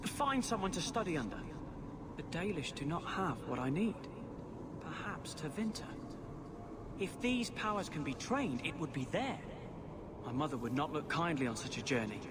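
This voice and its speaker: A man playing a troubled young elf mage in a video game, speaking earnestly and intensely. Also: a little high. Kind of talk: video game cutscene acting. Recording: loud outdoor ambience noise.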